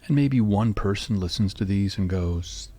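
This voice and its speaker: Low husky sleepy-voiced man. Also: normal speed. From North Carolina. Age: 50s. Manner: calm, musing, even delivery. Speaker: podcast host. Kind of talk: rambling solo monologue.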